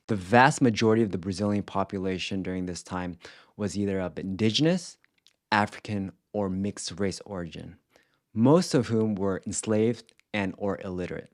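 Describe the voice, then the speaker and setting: Man, lowish pitch, language teacher, scripted instructional narration, clear explanatory delivery, careful enunciation. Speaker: language teacher presenting a video series.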